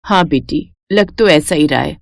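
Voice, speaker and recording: A woman voicing a humble, caring forty-year-old village mother who speaks in a gentle, homely, respectful way in a cartoon story. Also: low voice, a little slow, gravelly, no echo, studio quality, voice pushed down, full character voice. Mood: worried, motherly.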